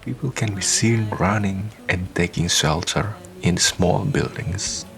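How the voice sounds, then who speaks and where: A man narrating a scripted radio drama as a solemn, deliberate storyteller. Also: low voice, creaky, fairly bright tone. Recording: voice over prominent music. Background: music.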